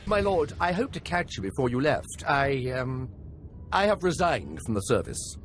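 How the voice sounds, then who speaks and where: Excitable young officer played by a man, animated pitch. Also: moderate pace, slight background noise, natural voice. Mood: nervous, eager. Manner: excitable, awkward, earnest. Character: eager, nervous young imperial officer and relic scholar. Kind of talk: video game voice acting.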